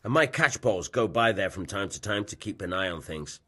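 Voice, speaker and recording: An actor playing a gruff, dismissive medieval town bailiff in a video game, husky and matter-of-fact. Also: male voice, fairly low pitch, expressive, fairly quick, bright, forceful tone, faint music behind. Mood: defensive, dismissive. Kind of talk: video game dialogue acting.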